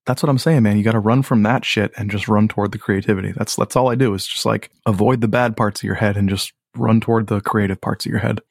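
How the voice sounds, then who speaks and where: A man with a low voice, a musician guest talking in a laid-back, rambling way with many fillers. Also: fairly even intonation, fast talker, gravelly, hardly any pauses, dry recording, clean studio sound. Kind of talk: casual podcast chat.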